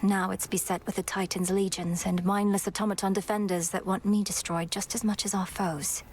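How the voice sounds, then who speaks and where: A woman's voice: medium pitch, little pitch movement, fairly quick, a little mellow, slight background noise, natural voice. A woman voicing a composed young witch princess. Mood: earnest. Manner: polite, composed, earnest. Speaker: game protagonist. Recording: game audio with clear music.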